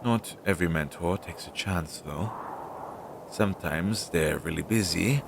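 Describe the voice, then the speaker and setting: Audio drama familiar, male actor: nervous, self-doubting and devoted, slow hesitant delivery in a low register, clearly noisy recording. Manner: hesitant, halting, fretful. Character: anxious, self-doubting magical familiar devoted to his master. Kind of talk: scripted audio roleplay, one-sided dialogue. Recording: low-fidelity audio with clear background noise.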